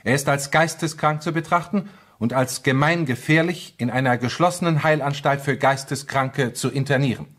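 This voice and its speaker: A matter-of-fact actor as a male case reporter with a medium-pitched voice. He reads scripted crime narration in formal, precise sentences. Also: normal speed, a bit bright, natural voice. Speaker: second narrator. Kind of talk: scripted documentary crime radio-drama narration.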